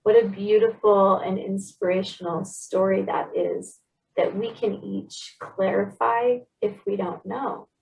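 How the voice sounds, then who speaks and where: A woman's voice: mid-range voice, fairly even intonation, measured pace, gravelly. Woman interviewer, kinda far from the mic, encouraging, chatty. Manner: warm, affirming, encouraging. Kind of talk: conversational interview. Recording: voice a bit distant from the mic.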